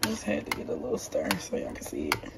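A young woman with a hoarse, raspy voice chatting casually to viewers while she cooks in her home kitchen. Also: brisk, heavy background noise. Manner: chatty, talks straight to viewers. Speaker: vlogger. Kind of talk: casual cooking vlog. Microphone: phone camera held close.

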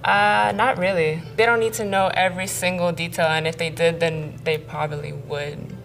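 A woman speaking in a matter-of-fact way in a bright studio. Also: lowish voice, flat delivery, moderate pace, vocal fry, a little hiss or hum. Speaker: interview show participant. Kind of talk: casual conversation.

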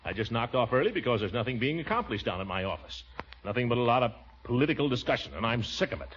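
An actor playing a pompous, blustering middle-aged uncle in a 1940s radio comedy, with indignant airs. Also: male voice, medium pitch, animated, fast talker, fairly bright tone, slight background noise, close to an everyday voice. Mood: mildly huffy. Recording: muffled vintage 1940s radio recording.

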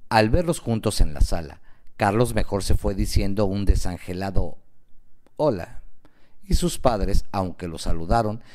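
A man's voice: on the low side, darkish tone. A man narrating a scripted radio novela in a dramatic storytelling style.

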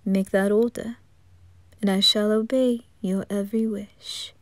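Woman plays devilish male butler, unhurried, whispered formality, dry close sound. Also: mid-range voice, fairly even intonation, no room sound, lightly acted. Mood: soothing. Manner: soft whispery, formal and smooth. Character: suave devilish male butler, calm and devoted. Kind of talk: fan ASMR roleplay audio drama.

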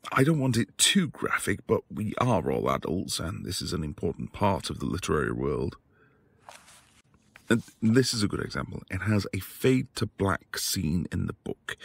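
Low, husky, bookish devoted husband played by a man. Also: no echo, full character voice. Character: quiet, bookish, devoted professor husband, apologetic and tender. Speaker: audio roleplay voice actor. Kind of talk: intimate boyfriend ASMR roleplay acting.

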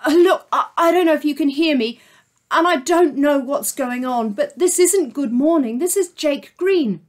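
A woman's voice: high-pitched, moderate pace, pitched above the performer's own voice, close to an everyday voice. A woman reading a storybook aloud, voicing an anxious boy who hurries and blurts out his words. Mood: pleading.

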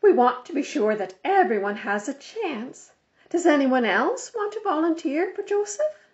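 A brisk mother voiced by a woman reader instructs children in a storybook. Her voice is fairly high and firm. The sound is a little lo-fi. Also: big pitch swings. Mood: polite, coaxing. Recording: slightly dull lo-fi recording.

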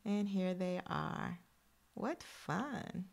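A woman's voice: mid-range voice, lively intonation, extremely slow, creaky. A woman teacher reading a picture book aloud expressively to young children, adding encouraging asides addressed straight to them.

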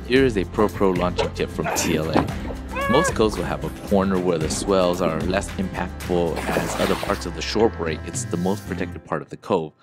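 A man with a very low voice narrates calmly in a scripted voice-over. Clear background music plays under his steady, informative delivery. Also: moderate pace. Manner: calm, informative. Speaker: host.

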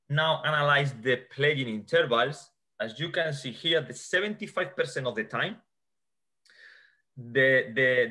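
A man from Spain with a Spanish accent talks through his slides on a video call. His voice is bright and forward, and he often circles back to repeat a word before going on. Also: medium pitch. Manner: hesitant restarts, repeated words. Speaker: guest expert, sports scientist. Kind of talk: explaining a slide presentation over a video call. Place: small indoor room. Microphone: video call webcam.

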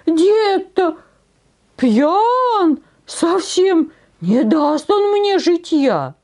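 A woman voicing a flustered young male farmhand in a folk tale, pleading in a plaintive, drawn-out way. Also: very high-pitched, big pitch swings, darkish tone, pitched above the performer's own voice, heavily acted. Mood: alarmed, plaintive.

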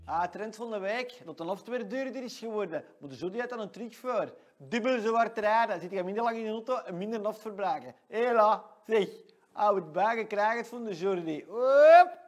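A brash young Leuven braggart. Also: male voice, high voice, animated, moderate pace. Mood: cocky. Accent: Flemish, Leuven. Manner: boastful, brash, talks about himself in third person. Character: cocky 22-year-old male shop salesman, brash braggart. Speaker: comic character giving weekly rundown. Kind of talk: sketch comedy monologue.

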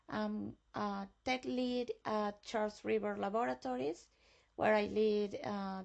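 A woman's voice: mid-range voice, gravelly, highs cut off. A woman from Venezuela presenting a tech webinar, enthusiastic and given to repeating words. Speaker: guest speaker presenting a webinar. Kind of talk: presenting slides.

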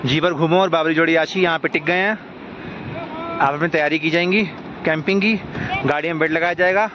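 A man's voice: a little high, fairly quick. A man co-hosting a travel vlog, explaining the route like a guide, recorded in a car and outdoors on a mountain road. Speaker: travel vlogger, co-host. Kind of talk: casual travel vlog chat. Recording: dull lo-fi sound with loud road noise.

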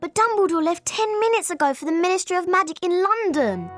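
A woman's voice: very high-pitched, expressive, a little fast. A woman voicing an earnest, cautious, bookish young schoolgirl witch in a video game. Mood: suspicious, worried. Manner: earnest, instructing. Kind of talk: scripted video game voice acting. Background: loud game music.

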